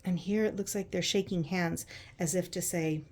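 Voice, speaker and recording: A woman narrating a picture book to children in an expressive, conversational way, using simple explanations and checking in with questions. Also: fairly low pitch, moderate pace. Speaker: children's read-aloud host.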